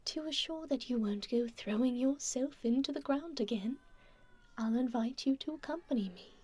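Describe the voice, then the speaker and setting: A woman playing a kind, teasing stranger in an intimate ASMR roleplay, speaking gently and unhurriedly in a breathy, whispery voice. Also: sits high, moderate pace. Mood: playfully teasing. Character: kind, teasing female stranger caring for a festival-goer.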